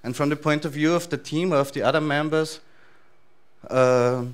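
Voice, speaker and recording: A man in his thirties with an Austrian accent, giving a relaxed, loosely structured talk to a conference audience in a large lecture hall. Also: on the high side, moderate pace, smooth. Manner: relaxed, thinking aloud with frequent fillers and restarts. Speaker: conference speaker.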